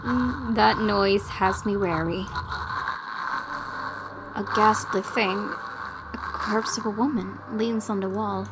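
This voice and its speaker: A woman's voice: medium pitch, steady tone, slow pace, pauses often, heavy background noise. A woman let's player reading horror game narration aloud, with casual reactions of her own.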